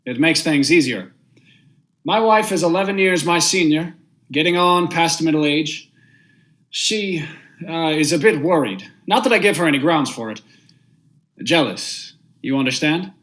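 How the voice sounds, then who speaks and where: A man's voice: fairly high pitch, lively intonation, measured pace, a bit bright. A young man acting a stern judge and narrator in a vintage radio drama, speaking formally and with measure. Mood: polite, controlled. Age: young adult. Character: stern, unmoved male judge, husband and brooding narrator.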